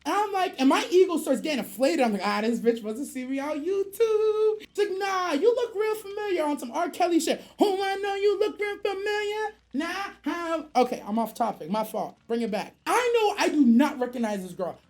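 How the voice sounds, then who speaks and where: A woman's voice: extremely high pitch, animated, moderate pace, few pauses, a little mellow. A woman streamer telling a casual story in profane slang, acting out both sides of the dialogue.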